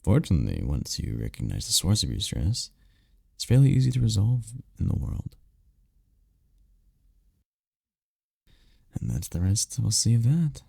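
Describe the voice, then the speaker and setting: A man playing a tender, powerful incubus boyfriend in an intimate roleplay ASMR, speaking softly and soothingly with unhurried, caring murmurs. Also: wildly swooping pitch, no room sound, clean studio sound, heavily acted. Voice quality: deep, dark, gravelly, husky. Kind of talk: intimate roleplay ASMR, scripted comfort monologue. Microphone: close mic, dry.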